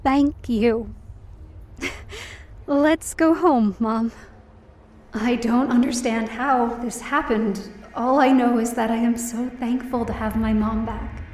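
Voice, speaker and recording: A woman's voice: on the high side, fairly even intonation, slow, a little mellow, echoey room, distant mic. A woman playing an emotional young woman who narrates her own story in an audio drama. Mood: relieved, happy. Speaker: first-person narrator and lead.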